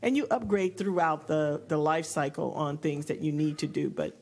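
A man giving an informal, halting answer as a technical presenter at a public meeting. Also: fairly high pitch.

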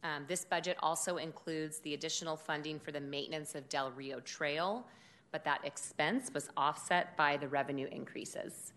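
A woman who directs a city department, giving a formal budget presentation at a public meeting in a loud, steady, measured voice. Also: low-pitched. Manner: measured, informative delivery with occasional fillers.

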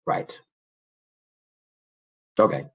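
A man on a public committee who is sick with the flu and losing his voice, speaking haltingly with many fillers. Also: a bit bright, highs cut off. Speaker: committee member. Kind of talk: public meeting discussion.

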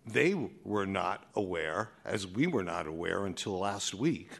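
A man giving a public comment at a board meeting, pressing pointed questions with many pauses and fillers. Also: sits low, animated, vocal fry.

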